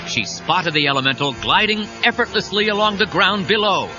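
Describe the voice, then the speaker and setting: A man narrates a superhero adventure dramatically, his high voice moving widely in pitch. The sound is a slightly dull cassette with clear music behind him. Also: lively intonation, moderate pace.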